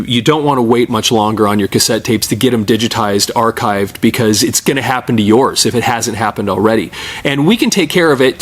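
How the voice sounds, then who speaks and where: Middle-aged male technician, medium-pitched voice, informal step-by-step demo delivery while working with his hands. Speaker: tape repair and digitizing technician, presenter. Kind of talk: casual hands-on tutorial.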